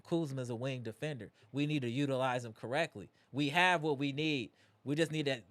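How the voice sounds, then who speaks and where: A man hosting a live postgame basketball reaction stream, animated and greeting viewers in the chat, his voice strained from yelling. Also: on the high side, no room sound. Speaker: livestream host.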